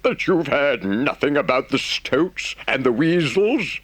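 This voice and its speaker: Man voicing an earnest, indignant rat, very animated. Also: medium pitch, measured pace, no room sound, pitched above the performer's own voice. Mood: agitated. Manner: emphatic, scolding, dramatic storytelling. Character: earnest, indignant, reproachful water rat friend. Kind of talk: audiobook character voice.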